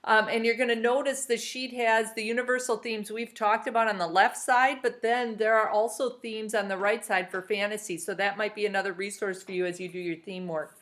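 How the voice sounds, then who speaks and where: A woman teacher reading a chapter book aloud to her students. Her pitch sits in the middle, and she talks in an upbeat, encouraging way with steady pacing through the narration. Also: brisk, few pauses. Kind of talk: reading a novel aloud to a class.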